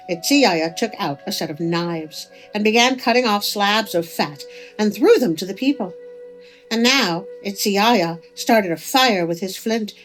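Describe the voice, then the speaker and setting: A woman narrating a folk tale as a storyteller, with expressive delivery. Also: mid-range voice, poor audio. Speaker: storyteller, host of a story channel. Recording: music under the voice.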